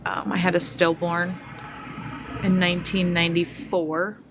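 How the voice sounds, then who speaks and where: A woman in her forties speaking slowly and reflectively to her viewers, pausing often with fillers. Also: lowish voice, little pitch movement, background noise. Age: 40s. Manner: slow, reflective, many fillers. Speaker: spiritual vlogger. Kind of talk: personal testimony to viewers.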